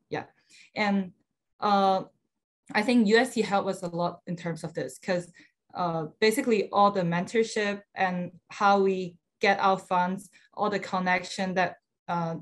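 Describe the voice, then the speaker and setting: A young female university student, likely from Hong Kong, casually sharing her student life on a video call, using many "like" and "uh" fillers. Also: medium pitch. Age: young adult. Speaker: student speaker. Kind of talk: admissions info session talk.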